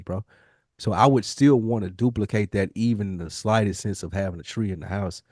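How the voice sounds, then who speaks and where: A man's voice: deep voice, a little mellow, no room sound, clean studio sound. A male podcast co-host chatting and debating casually in a slangy, profane, insistent way.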